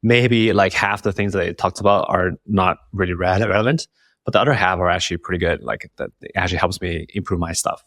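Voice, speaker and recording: Deep-voiced male podcast host, informal. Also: a little fast, a bit bright, no room sound, clean studio sound. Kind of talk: casual chat.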